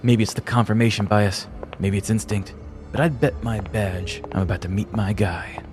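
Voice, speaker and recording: A man playing a dry, deadpan detective narrating in noir style in a comedy sketch. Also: on the low side, normal speed, music in the background, lightly acted. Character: deadpan middle-aged male detective.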